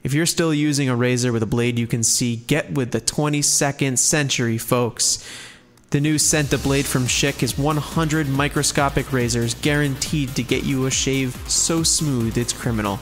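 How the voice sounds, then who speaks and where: A man playing a smooth-talking, slick host of a futuristic podcast show in a comedy parody. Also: mid-range voice, fairly even intonation, normal speed, faint music behind, natural voice. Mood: upbeat. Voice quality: smooth, slick announcer delivery.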